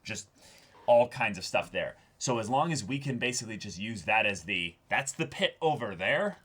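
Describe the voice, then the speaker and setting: A man co-hosting a reaction show, talking casually in an earnest, reflective way with halting, repeated words. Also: lowish voice, lively intonation, fairly bright tone, husky. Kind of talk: casual discussion of an anime episode.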